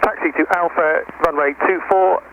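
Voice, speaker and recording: A man's voice: a little high, steady tone, phone-quality sound, crowd noise. A man working as an air traffic controller, giving clipped instructions over aircraft radio. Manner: brisk, clipped. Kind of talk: radio phraseology. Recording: noisy aircraft radio transmission.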